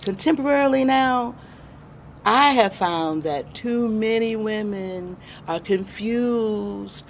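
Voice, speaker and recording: A middle-aged American woman answering interview questions as a guest, reflective and deliberate, pausing for emphasis. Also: medium pitch, a little hiss or hum. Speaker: interview guest, independent filmmaker.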